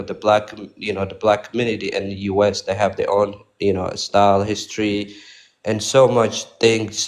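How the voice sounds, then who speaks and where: A man from Ethiopia, calling in to a talk room and speaking haltingly with many fillers. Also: fairly low pitch. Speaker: caller. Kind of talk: casual chat.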